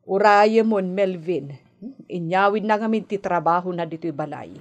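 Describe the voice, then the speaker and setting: A woman with an Ilocano accent acting a gentle, concerned middle-aged mother in a radio drama. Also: fairly low pitch, normal speed, natural voice. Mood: mildly fussing.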